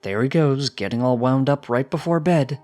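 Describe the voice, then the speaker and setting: Man voicing a brisk, raised-pitch village mother. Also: warm tone, faint music behind, lightly acted. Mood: weary. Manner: brisk, direct. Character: brisk, assertive village wife and mother, fantasy creature.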